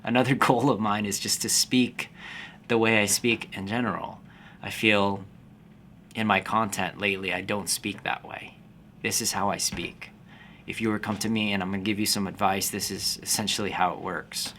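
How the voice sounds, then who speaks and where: A man in his forties, born in the Philippines, a fitness business coach talking to camera in a calm, slow, unhurried way. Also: fairly low pitch, slight background noise. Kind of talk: casual talking-to-camera vlog.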